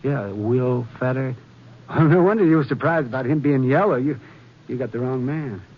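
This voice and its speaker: An American actor playing a chatty, gossipy small-town hotel clerk with rustic, rambling speech in a 1950s radio western. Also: male voice, mid-range voice, normal speed, lightly acted. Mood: amused. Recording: dull, faintly noisy vintage radio recording.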